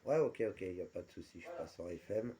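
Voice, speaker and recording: A man's voice: low voice, lively intonation, a little fast, noisy background. A man chatting casually as a radio operator, repeating his confirmations and using radio jargon. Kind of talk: casual radio contact chat.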